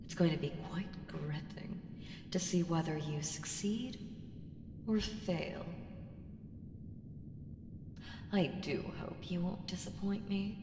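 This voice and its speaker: An ancient evil female power, played by a woman, speaks in a cavernous, long reverb. Her voice is lowish and gravelly at the edges, haughty and teasing, sometimes sinking to a whisper. It is a coolly menacing audio roleplay patron who condescends with every deliberate phrase. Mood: teasing anticipation. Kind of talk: slow ASMR audio roleplay monologue. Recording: echoing long hall reverb, ambient hiss.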